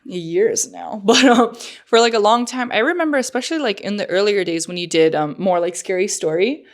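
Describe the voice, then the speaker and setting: A woman's voice: medium pitch, fairly quick. A woman co-hosting a podcast in casual chat, slightly nasal and full of fillers. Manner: chatty, lots of fillers and likes. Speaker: podcast co-host.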